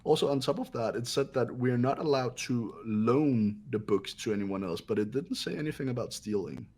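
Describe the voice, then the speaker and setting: A man's voice: mid-range voice, animated, moderate pace, darkish tone. A man chatting casually as part of a voice cast playing through a game. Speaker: voice cast member. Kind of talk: casual gaming chat.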